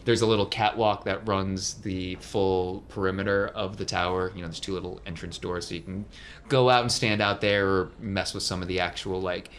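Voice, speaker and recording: A man with a fairly low voice narrates a tabletop game as its game master. He improvises the scene descriptions in a conversational way. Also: steady tone, moderate pace, a bit bright, a little hiss or hum.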